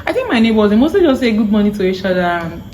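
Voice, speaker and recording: A young woman with a Nigerian accent, hosting a casual chat; she is lively, laughs often and slips into pidgin. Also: medium pitch, rapid-fire, slight background noise. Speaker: vlog host.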